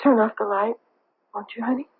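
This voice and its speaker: Tinny radio drama of a troubled wife by an actress. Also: female voice, a little high, steady tone, brisk, lightly acted. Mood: uneasy, soothing. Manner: anguished, evasive. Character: troubled middle-aged wife hiding her wartime past. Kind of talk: 1970s radio drama acting. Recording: tinny, noisy old radio broadcast.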